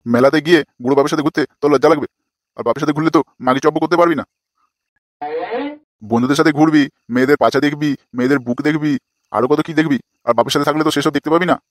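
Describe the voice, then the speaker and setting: A man's voice: a little high, steady tone, rapid-fire, dry recording, studio quality, natural voice. A man voicing an exasperated, foul-mouthed older village father in a comic cartoon, scolding crudely.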